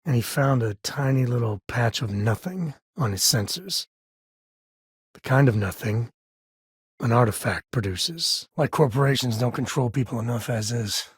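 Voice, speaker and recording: A man acting as a wry, warm-hearted space explorer and dad in a video game, with a raspy voice and a folksy, dry manner. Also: medium pitch, animated, moderate pace, no room sound. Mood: wry, sardonic.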